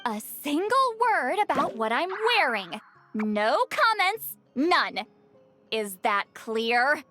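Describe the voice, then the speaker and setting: A high-voiced, prickly, self-conscious game heroine. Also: female voice, big pitch swings, takes it slowly, bright, forceful tone, faint music behind, heavily acted. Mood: stern, embarrassed. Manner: huffy, dry, sighing. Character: prickly, self-conscious woman, easily embarrassed, fond of slacking. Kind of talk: animated game voice acting.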